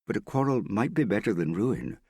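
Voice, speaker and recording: Man performing young woman, earnest, worried, stubborn, plain-spoken, creaky edge, close dry sound, audiobook dialogue. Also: on the low side, animated, brisk, darkish tone, no echo, voice pushed up, full character voice. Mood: firm. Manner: serious, plain-spoken, insistent.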